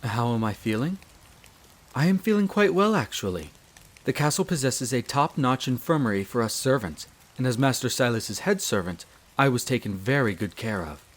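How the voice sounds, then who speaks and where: A man's voice: medium pitch, animated, close to an everyday voice. Man playing a formal, devoted male butler. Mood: composed, reassuring. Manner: formal, deferential, measured and polite. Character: courteous, devoted male wolf butler, head servant of vampire castle. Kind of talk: scripted roleplay audio drama.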